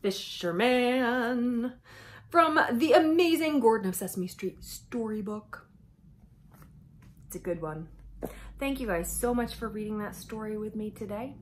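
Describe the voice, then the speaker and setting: Very animated, high-pitched woman storyteller. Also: measured pace. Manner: expressive, exclamatory, dramatic emphasis. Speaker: children's storyteller. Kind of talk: animated picture-book read-aloud to children.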